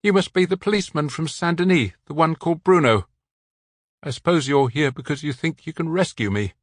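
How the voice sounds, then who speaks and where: A man reading the part of a weary, apologetic man in his forties who speaks quietly and plainly. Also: fairly high pitch, a little fast, dry recording, clean studio sound, voice pushed up, close to an everyday voice. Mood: resigned.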